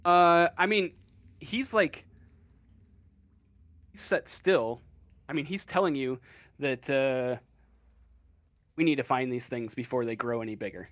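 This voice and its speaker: Guy running a tabletop rpg, slow and hesitant, mid pitched voice, feeling out the narration. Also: fairly bright tone, dry recording. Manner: halting, hesitant, searching for words. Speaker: game master. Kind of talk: improvised tabletop game narration.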